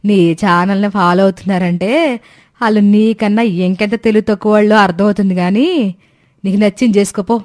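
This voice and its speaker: A woman's voice: medium pitch, fairly even intonation, fairly quick, darkish tone, natural voice. A woman playing a sarcastic older mother-in-law. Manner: scolding, dryly sarcastic. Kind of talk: Telugu animated moral story dialogue.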